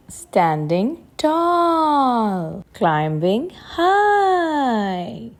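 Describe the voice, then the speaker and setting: A woman reading picture books aloud for young children in a deliberate, expressive way, drawing out her words. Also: medium pitch. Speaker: children's story reader.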